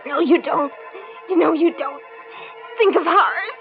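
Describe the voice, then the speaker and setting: A woman's voice: extremely high pitch, measured pace. An actress playing a frightened wife pleading with her would-be killer in melodramatic 1940s radio horror style. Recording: muffled old radio recording with music.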